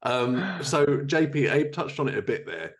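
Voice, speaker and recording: A man's voice: medium pitch, animated, normal speed. A man hosting a podcast, asking questions in a casual, chatty interview style.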